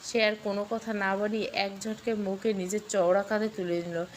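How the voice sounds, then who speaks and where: A woman's voice: medium pitch, flat delivery, brisk, a little hiss or hum. A woman reading a scripted story with dramatic delivery. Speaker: story narrator.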